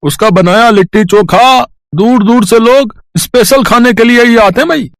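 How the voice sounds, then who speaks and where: A man voicing a chatty, genially bossy employer in an animated storybook tale. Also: very high voice, moderate pace, no echo, pitched above the performer's own voice. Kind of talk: animated storybook cartoon dialogue.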